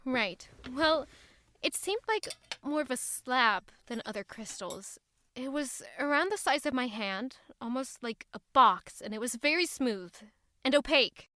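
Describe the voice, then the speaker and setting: A woman's voice: high voice, animated, a little slow, pushed and bright, dry recording, heavily acted. An actress playing an anxious young traveling woman with a secret in an audio drama, tense, guarded and hesitant. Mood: hesitant, careful.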